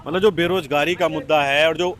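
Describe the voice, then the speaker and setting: A man's voice: fairly high pitch, fairly even intonation, fast, a bit bright. A man working as a street reporter, putting questions to passers-by. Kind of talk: vox pop interview with questions and prompts. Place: outdoors in an urban area. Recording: noisy outdoor street with traffic.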